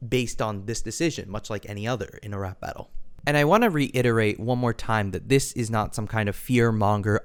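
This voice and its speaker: A man giving an opinion monologue as an online video commentator, measured and hedging his points with frequent personal qualifiers. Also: on the low side, smooth.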